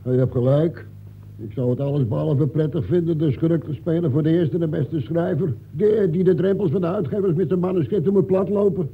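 Male, grumbling crook in vintage radio drama: husky, slightly high, faltering, thin narrow-band sound. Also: a little fast. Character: grumbling male villain from a crime novel. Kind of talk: old radio play acting. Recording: old radio recording, thin phone-like band.

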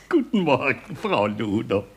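A man's voice: medium pitch, big pitch swings, slow, husky, heavily acted. An actor playing a courteous, charming older father with a polite, courtly manner in a radio play. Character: courteous, charming old father visiting his grown son. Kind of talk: 1960s German radio play acting.

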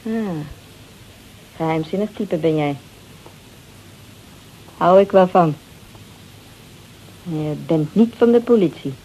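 A woman's voice: fairly low pitch, takes it slowly, pauses often, mellow, breathy edge, rough recording. An actress playing a brazen, flirtatious married woman who drinks sherry, teasing and mocking in a radio drama. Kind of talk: Dutch radio drama acting.

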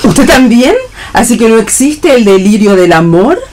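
A woman's voice: fairly high pitch, lively intonation, normal speed, darkish tone, close to an everyday voice. An actress playing a haughty, indignant, scolding woman in a theatrical radio play reading. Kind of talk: read-theatre stage acting on radio. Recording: faint noise and clicks.

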